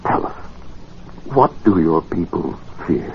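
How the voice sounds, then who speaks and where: A dull, hissy old radio recording carries a solemn male questioner. He speaks slowly and rather low, coaxing, with big swings in pitch. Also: expressive, heavily acted. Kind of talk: 1940s radio acting.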